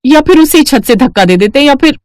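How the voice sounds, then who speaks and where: A woman's voice: sits high, animated, a little fast, no echo, pitched above the performer's own voice. A woman voicing a spoiled, jealous and cruel teenage stepsister in a cartoon story, whiny, haughty and petulant. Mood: whiny, spiteful. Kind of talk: Hindi cartoon story character acting.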